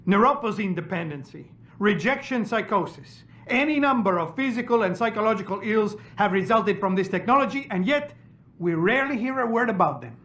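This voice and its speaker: An indignant male doctor and activist in a dramatic video game. His voice is notably high for a man. He speaks with formal, articulate, pointed earnestness. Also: animated, brisk, fairly bright tone.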